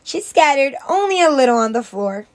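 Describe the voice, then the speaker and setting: A woman teacher reading a story aloud to children in an expressive narrating style, with dramatic emphasis. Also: high-pitched, normal speed. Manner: dramatic emphasis on exclamations and character lines.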